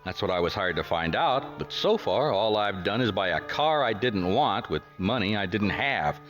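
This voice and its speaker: An actor with an American accent playing a wry, put-upon 1950s private detective in a radio drama, plain-spoken and a little hesitant. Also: male voice, fairly low pitch, animated, normal speed, fairly bright tone, soft background music. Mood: wry narration. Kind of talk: radio drama acting with first-person detective narration.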